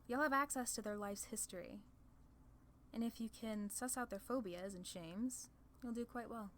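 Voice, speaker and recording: A woman's voice: a little high, moderate pace, a bit bright, slight background noise, lightly acted. A woman voicing a playful, proud demon ruler of hell in a casual, sardonic ASMR roleplay. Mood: wry, matter-of-fact. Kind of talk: ASMR roleplay audio drama.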